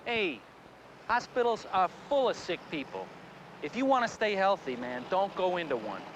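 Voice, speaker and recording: A man's voice: high voice, sing-song, moderate pace, a bit bright, a little hiss or hum, low-quality recording. An actor playing a blunt, casual man giving his opinion like a street interview. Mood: emphatic. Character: blunt casual man warning against hospitals. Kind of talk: street vox pop.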